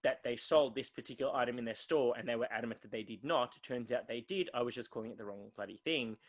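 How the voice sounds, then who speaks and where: A man's voice: mid-range voice, fast. An Australian man co-hosting a podcast, chatting casually and self-mockingly, drifting off on tangents. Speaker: podcast co-host. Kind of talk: casual chat.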